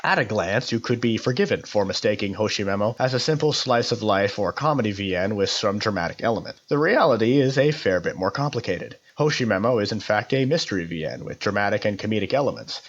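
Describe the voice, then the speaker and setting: A man with a fairly low voice delivers a prepared review. His wording is formal and his delivery is measured, like a narrated segment on a hobby podcast. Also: dull audio. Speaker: podcast contributor giving a review. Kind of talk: scripted narration, visual novel review.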